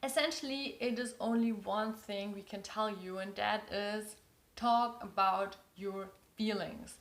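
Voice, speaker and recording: A woman's voice: medium pitch, steady tone, a little slow, echoey room. A woman with a German accent, a non-native English speaker, giving earnest relationship advice and repeating words for emphasis. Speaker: relationship coach. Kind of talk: advice talk to camera.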